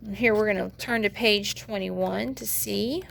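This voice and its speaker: A woman's voice: mid-range voice, measured pace, creaky, fairly bright tone, slight background noise. A woman teacher giving an instructional read-aloud of a children's nonfiction book, explaining and putting questions directly to young learners. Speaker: teacher reading aloud to students.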